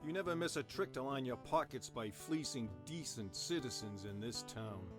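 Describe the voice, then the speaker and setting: Guy playing gruff angry-at-the-law dad in a radio play, medium pitch, stern and blunt, guitar music behind. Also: lively intonation, normal speed, natural voice. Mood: angry, determined. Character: gruff, defiant family man confronting a crooked lawman. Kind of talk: audio drama acting.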